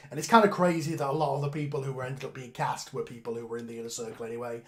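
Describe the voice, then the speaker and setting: A man hosts an aftershow over a video call, talking in loose, rambling runs with quick asides. His voice sits at an everyday middle pitch. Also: level tone, a crowd in the background. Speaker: show creator and host. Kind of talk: casual aftershow chat on a group call.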